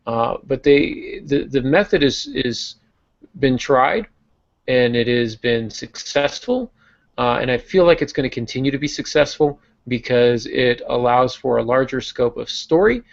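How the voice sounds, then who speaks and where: A man co-hosting a writing podcast, talking in a relaxed, chatty way with frequent restarts. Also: medium pitch, a little slow, creaky. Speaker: podcast co-host. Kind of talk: casual writing craft chat. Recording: dull lo-fi podcast audio.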